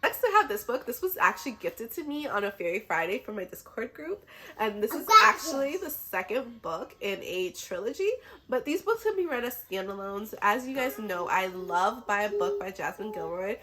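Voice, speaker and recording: A woman's voice: mid-range voice, big pitch swings, normal speed. A woman who is a little sick chats casually and enthusiastically to camera as a book vlogger, in an informal way.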